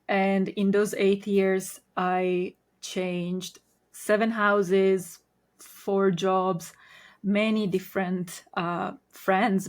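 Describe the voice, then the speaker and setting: Slow-speaking Italian-accented woman. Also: fairly low pitch. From Italy. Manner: unhurried, hesitant with many ums and pauses. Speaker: podcast guest, Reiki master. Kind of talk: casual interview storytelling.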